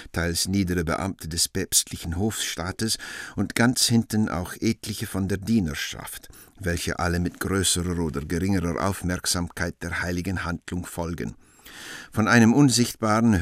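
A man's voice: low voice, no room sound, clean studio sound. A man reading scripted stage directions as narrator of a radio play.

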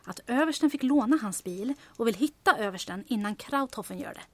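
A woman's voice: on the high side, expressive, fairly bright tone. An actress playing a resolute, conspiratorial female resistance agent in an audio drama, briefing urgently and matter-of-factly.

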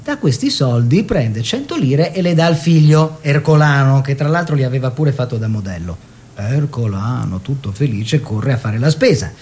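Man acting self-satisfied art collector, wry, emphatic, very lively intonation, theatrical radio storytelling. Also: medium pitch, moderate pace, lightly acted.

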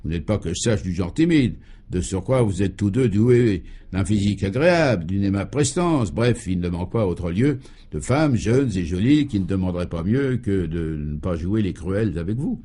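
A man's voice: low-pitched, animated, moderate pace, warm tone. A man voicing a talkative, worldly male friend and guide in a comic radio serial, emphatic and mock-formal. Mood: persuasive.

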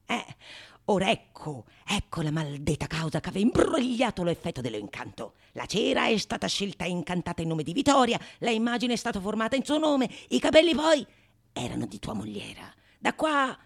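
Woman acting a male charlatan sorcerer, scheming, impatient, creaky voice, animated, theatrical comedy. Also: mid-range voice, voice pushed up, heavily acted. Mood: exasperated, insistent. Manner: rapid, emphatic, explaining impatiently. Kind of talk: theatrical monologue of Renaissance comedy.

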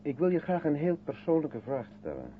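An actor playing a sceptical, probing South African man with dry irony and measured questioning, in a 1970s Dutch radio drama. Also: a little high, huge pitch swings. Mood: probing, ironic. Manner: questioning, dryly ironic, measured. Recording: muffled, noisy 1970s radio recording.

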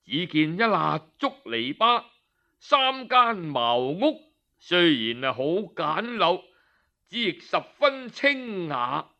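A man with a Cantonese accent narrating a traditional tale in an expressive, colloquial storyteller's manner. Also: sits high, slow pace, vocal fry, lo-fi sound.

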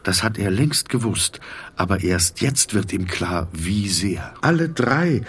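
A man narrating a literary audio drama in an introspective, dramatic way with deliberate phrasing. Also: low-pitched, animated, fairly bright tone, slightly rough.

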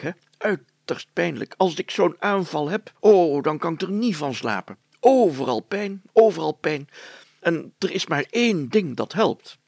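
A man's voice: a little high, creaky, breathy edge, no echo, pitched above the performer's own voice, heavily acted. A man voicing a pompous, blustering older village mayor who repeats himself and exclaims in a children's story. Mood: plaintive, blustering.

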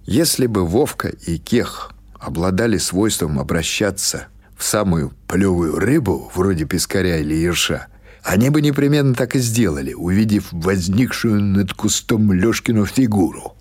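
A man reading literary prose as an audiobook narrator, in an expressive, measured storytelling manner. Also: sits low, breathy edge.

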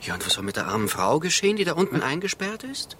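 Earnest amateur detective, sharp forceful voice. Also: male voice, on the high side, big pitch swings, fast, slight background noise. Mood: indignant. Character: earnest, agitated, indignant male amateur detective. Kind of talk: radio drama acting.

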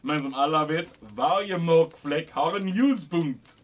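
An actor playing an excitable, self-important German camp commandant, speaking Swedish with a German accent. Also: male voice, fairly high pitch, natural voice. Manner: pompous, excitable. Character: fussy German camp commandant, middle-aged man. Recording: distant from mic.

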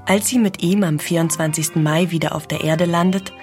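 A woman's voice: on the low side, fairly even intonation, brisk, faint music behind. A woman reading scripted documentary narration as the narrator of an audio feature.

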